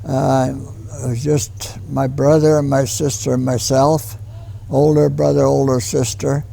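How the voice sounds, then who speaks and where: A man of one hundred with a western Canadian accent reminisces in an interview. His voice is heavily creaky and soft-edged in tone, mellow rather than bright. He speaks plainly and haltingly, with slight noise around him. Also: mid-range voice, flat delivery. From Calgary, western Canada. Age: 100. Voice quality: gravelly, creaky. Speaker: war veteran interviewee.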